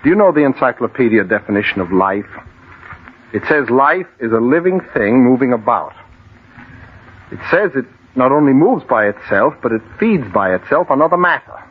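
A man's voice: medium pitch, expressive, moderate pace, slightly rough. An actor playing an obsessive, fervent man entranced by fire, earnest and insistent, in old-time radio horror style. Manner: earnest, insistent, rhetorical questioning. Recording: noisy, tinny old radio recording.

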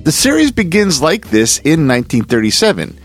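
Animated male host, music underneath. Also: a little high, a little fast. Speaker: podcast host. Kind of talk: scripted narration introducing old radio horror.

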